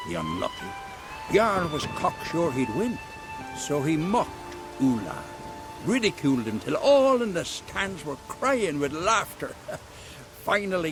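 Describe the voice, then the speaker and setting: Animated village storyteller. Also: male voice, mid-range voice, rough recording. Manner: dramatic storytelling, colloquial. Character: proud, wary male villager, lively storyteller. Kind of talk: video game cutscene acting.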